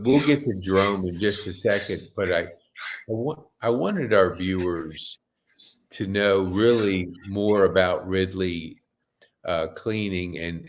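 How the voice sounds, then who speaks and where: A male podcast host with a low voice speaks slowly and deliberately. He talks in a halting way and keeps starting his sentences over. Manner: halting, with many restarts and fillers. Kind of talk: interview hosting.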